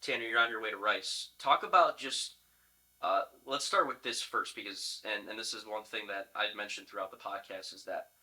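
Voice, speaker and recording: A man with a very bright, forceful voice that sits slightly low. He interviews casually as a podcast host, in a chatty, conversational way. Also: normal speed, low-quality recording.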